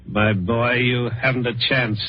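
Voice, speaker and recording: An actor playing a sly, mock-courteous older gentleman plotting murder, in the style of old-time radio suspense. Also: mid-range voice, husky, no echo. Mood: gloating. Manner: mock-courteous, chuckling, savouring his words. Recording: muffled, noisy vintage radio recording.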